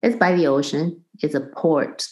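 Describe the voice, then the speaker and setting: A woman's voice: low-pitched, animated, unhurried, a little mellow. A middle-aged woman from Taiwan, a guest on an interview, who explains things thoughtfully with examples and small restarts. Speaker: guest, percussion professor. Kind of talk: conversational interview.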